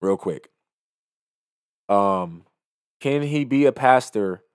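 A man's voice: fairly low pitch, animated, slow pace, smooth, dry recording. A young man giving emphatic, moralizing reaction commentary into a close microphone. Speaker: video commentator. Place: small indoor home studio. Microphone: close studio microphone on an arm, headset on.

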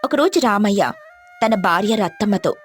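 A woman's voice: mid-range voice, lively intonation, normal speed. Woman narrator over faint music. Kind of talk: scripted moral story narration.

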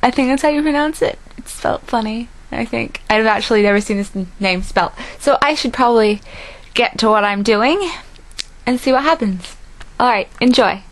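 A woman's voice: fairly high pitch, expressive, moderate pace. A young woman introducing her voice audition in an informal, self-deprecating way. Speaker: amateur voice actor auditioning.

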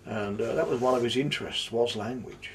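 A man's voice: medium pitch, a little fast, crowd noise. An older man in an interview, telling fond, thoughtful stories about a friend in a book-lined room. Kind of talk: reminiscing interview. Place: room full of books, indoors.

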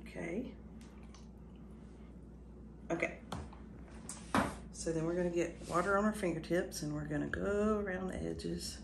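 A middle-aged woman casually talking through a cooking demonstration in her home kitchen, unhurried and unsure of herself in little asides. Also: gravelly. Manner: unhurried, self-doubting asides, lively pitch. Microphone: far camera. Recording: echoey kitchen, camera far off, background noise.

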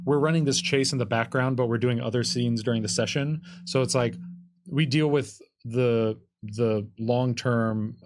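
A man's voice: mid-range voice, a little slow, darkish tone. A man in his thirties giving casual explanations as a game designer, in a conversational, hedging way full of asides. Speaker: game designer answering rules questions. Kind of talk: casual explanatory panel talk. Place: indoor studio table with curtain backdrop. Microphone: tabletop microphone on a stand.

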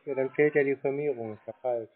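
A man's voice: mid-range voice, fairly even intonation, quick pace. A man in his twenties taking a scam call, giving curt, dry, terse answers. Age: 20s. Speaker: call recipient. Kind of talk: phone call. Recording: tinny phone line.

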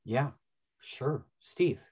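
A man's voice: medium pitch, lively intonation, drawn-out words, warm tone, slightly rough. A middle-aged man chatting casually as moderator of an online panel. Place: indoor room, video call. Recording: slightly muffled video call audio.

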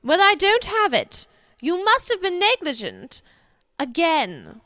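A woman's voice: very high voice, wildly swooping pitch, slow pace, bright, forceful tone, no echo, studio quality, full character voice. A woman playing a haughty, scolding, imperious stage actress. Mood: irritated.

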